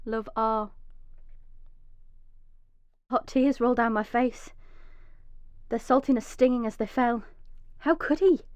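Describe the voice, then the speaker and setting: An actress playing a frightened, injured young mother in a radio drama, emotional and swinging from hushed dread to pleading. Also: fairly high pitch, slow pace, long pauses, clean tone, dry recording. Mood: bitter, anguished. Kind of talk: radio drama monologue with acted dialogue.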